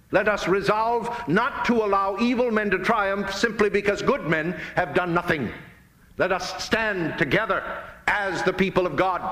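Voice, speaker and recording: Fervent American preacher with a high-set voice. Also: male voice, pushed and bright. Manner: emphatic, solemn, rhetorical with deliberate dramatic phrasing. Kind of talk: fiery oratorical sermon speech.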